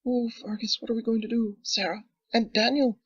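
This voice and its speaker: Man doing a panicky high-voiced wife. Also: flat delivery, moderate pace, slightly rough, no room sound, dull audio. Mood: panicked. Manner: breathless, panicked cries.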